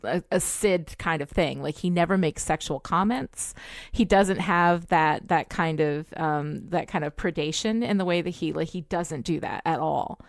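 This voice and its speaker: A woman's voice: fairly low pitch, clear, resonant tone, no room sound, studio quality. A woman chatting casually and emphatically as a podcast co-host, informal and agreeable. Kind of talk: casual chat about a TV show.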